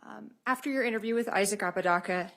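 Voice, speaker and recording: American female prosecutor, medium pitch, measured and formal witness examination. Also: gravelly, a bit bright. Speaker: prosecuting attorney questioning a witness. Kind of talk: courtroom examination. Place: courtroom.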